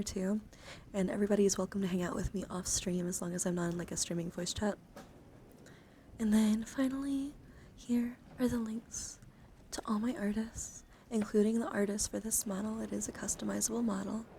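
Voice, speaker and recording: Soft, whispery woman with gravelly fry. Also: mid-range voice, slight background noise. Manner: hushed, often slipping into whisper. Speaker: livestreamer. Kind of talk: soft-spoken ASMR stream chat.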